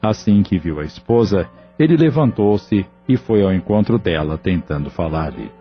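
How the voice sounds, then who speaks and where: A man with a low voice narrates an audio novel, telling the story in a measured, somber way. The recording sounds dull and lo-fi, with quiet music underneath. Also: animated.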